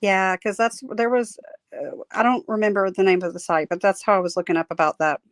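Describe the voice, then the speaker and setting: A woman talking casually as a guest, her voice tired and strained, often laughing and halting with fillers. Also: medium pitch, fairly even intonation, dry recording, studio quality. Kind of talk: casual chat.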